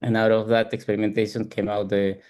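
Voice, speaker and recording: A man from Peru with a Peruvian Spanish accent, giving reflective, halting explanations as an interview guest and often checking in with "right?". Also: on the low side, completely flat delivery, darkish tone, smooth. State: frequent throat clearing. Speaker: ADHD coach and support group founder, interview guest.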